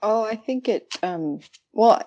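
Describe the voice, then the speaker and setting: A woman's voice: medium pitch, animated, slow pace, a little mellow, dry recording, dull audio. A woman speaking as a guest in a casual literary discussion, reflective and informal, prone to giggling. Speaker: podcast guest.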